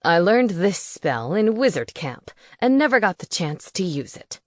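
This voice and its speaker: A woman voicing an arrogant, menacing young male wizard who talks loudly and with contempt. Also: on the low side, very animated, unhurried, no room sound, studio quality. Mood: sneering. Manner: loud, sneering and forceful.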